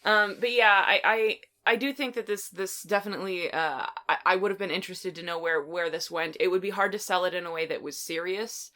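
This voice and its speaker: This is a woman hosting a casual film podcast. Her voice is bright and sits a little low. She talks conversationally, rambling and rephrasing as she goes. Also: fairly even intonation, a little fast, few pauses. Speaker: podcast host.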